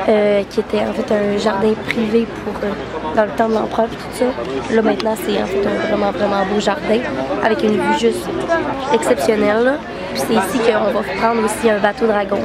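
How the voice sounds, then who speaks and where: A young woman with a Québécois accent, congested and tired, chatting casually while vlogging and using lots of fillers. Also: mid-range voice. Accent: Québécois French. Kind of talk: casual travel vlog.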